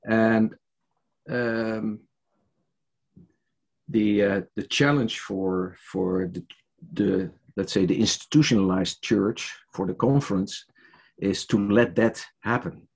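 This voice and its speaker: Older man, Dutch accent, low gravelly voice, halting with fillers, video call from home. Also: a little mellow. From Netherlands. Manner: hesitant, many fillers and restarts. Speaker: church leader interviewee. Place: home study with bookshelves. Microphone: headset on a video call.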